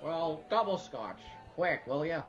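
A man's voice: a little high, expressive, a little slow, highs cut off, soft background music, a faint crowd. A man playing an agitated, impatient family man in a radio drama, stammering and incredulous. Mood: brusque, wry.

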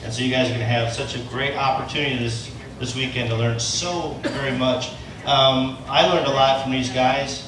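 A man's voice: mid-range voice, fairly even intonation, normal speed. A man introducing the coaches to an audience, rambling with fillers and self-deprecating asides. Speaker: event presenter introducing coaches. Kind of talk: speech to an audience. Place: large event tent, from far off. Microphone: PA system, recorded by a phone in the audience. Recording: echoey tent, noisy, distant.

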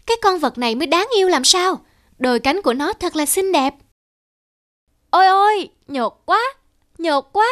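A woman's voice: very high voice, animated, moderate pace, long pauses, fairly bright tone, dry recording, clean studio sound. A woman voicing an innocent, childlike young blade of grass in a cartoon, full of wide-eyed exclamations. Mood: delighted wonder. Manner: wondering, exclamatory. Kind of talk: animated film dubbing.

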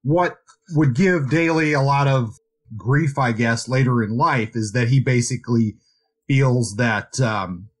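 A man co-hosting a podcast, discussing books in a casual, measured and slightly hedging way. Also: mid-range voice. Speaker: podcast host.

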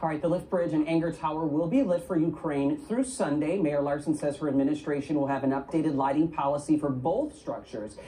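A man reporting the local news in a measured broadcast delivery, heard played from a television. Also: fairly high pitch, warm tone, recorded from a distance, poor audio. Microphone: broadcast mic, replayed from a TV set. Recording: TV audio recorded off a speaker.

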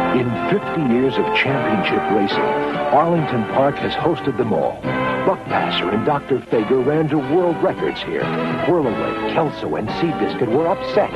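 An American man delivers a dramatic 1970s radio commercial. His intonation is very lively, and loud music plays under him. The recording is dull and lo-fi. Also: medium pitch.

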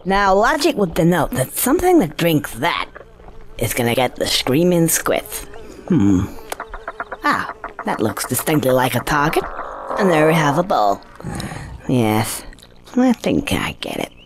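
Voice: mid-range voice, wildly swooping pitch, measured pace, pauses often, very noisy. A performer voicing an easygoing cartoon squirrel hero in a video game, wry and hesitant, muttering asides to himself over music. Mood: wry, puzzled. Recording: music underneath.

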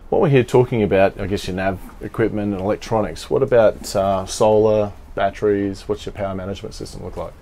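A man in his thirties with a fairly low voice interviews a boat owner. He asks short, prompting questions and affirms the answers as they talk inside the boat. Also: steady tone, a little fast, vocal fry, few pauses, dark tone, a little hiss or hum. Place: inside a catamaran saloon, docked.

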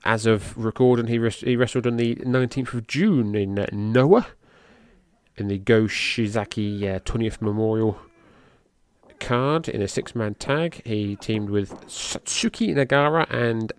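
A man's voice: unhurried, smooth. A middle-aged man, a podcast host giving loose, rambling play-by-play of a match, who swears casually and lifts his voice at the big moves. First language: English. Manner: loose, rambling, swears casually, gets excited at high spots.

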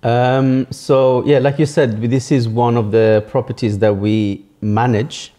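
A young man born in Italy and raised in the UK, a guest telling his story in a relaxed, matter-of-fact way while seated on a sofa in a living room. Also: mid-range voice, measured pace. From born in Italy, raised in the UK from age twelve. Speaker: guest, property entrepreneur. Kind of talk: casual interview chat.

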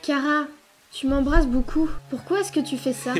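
A woman voicing a gentle, stubborn young boy in a dramatic comic dub, earnest and insistent. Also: high-pitched, normal speed, close to an everyday voice. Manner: earnest, soft-hearted, insistent.

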